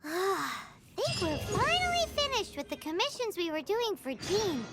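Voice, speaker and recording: A woman voicing a tiny, childlike, cheeky fairy companion in an anime game, squeaky and perky. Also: sing-song, measured pace, over loud music, heavily acted. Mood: curious, chatty. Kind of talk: English anime game voice acting.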